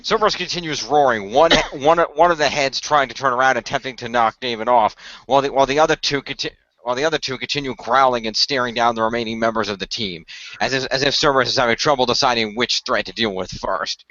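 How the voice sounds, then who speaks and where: A man with a bright, forceful voice narrates a fantasy adventure as game master. He improvises haltingly and repeats phrases. The recording is dry, close and slightly dull. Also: medium pitch, dry recording. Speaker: game master, co-host of a fan radio play.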